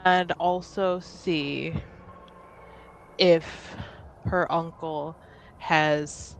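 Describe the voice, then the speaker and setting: A woman playing a tabletop roleplaying game, talking casually and haltingly in slangy, improvised phrases. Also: low voice, steady tone, creaky, a little hiss or hum, low-quality recording. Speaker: tabletop RPG player.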